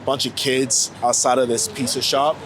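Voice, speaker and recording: A man's voice: medium pitch, little pitch movement, moderate pace, a bit bright. A man in his late twenties talking casually and reflectively in a rambling, slangy way, like an interviewee out on a walk. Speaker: street photographer being interviewed. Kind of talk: casual walking chat. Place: outdoors on a New York City street. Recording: outdoor city street noise. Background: faint traffic and urban ambience.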